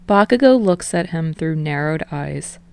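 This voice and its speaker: A woman's voice: sits low, expressive, measured pace, warm tone, clear, resonant tone. A woman reading fiction aloud as scripted audiobook narration.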